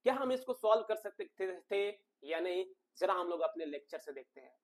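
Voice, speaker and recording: A man's voice: high voice, animated, moderate pace. A man with an Indian accent teaching an exam coaching lesson, explaining emphatically, repeating points and asking rhetorical questions.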